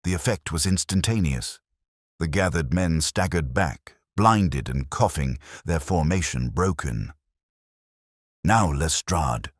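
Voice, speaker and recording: A man narrating a detective story from a script in a measured, solemn, dramatic manner. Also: very deep voice, animated, no echo, studio quality. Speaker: audiobook narrator.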